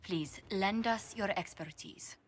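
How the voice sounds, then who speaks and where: Courteous woman diplomat, medium pitch. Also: takes it slowly. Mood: gracious. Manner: polite, formal. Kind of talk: dramatic video game acting.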